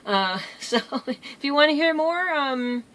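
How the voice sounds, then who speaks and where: A woman's voice: high-pitched, lively intonation, measured pace, a bit bright. A woman vlogger telling stories in a casual, rambling way, easily drifting off track. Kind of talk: casual storytelling vlog.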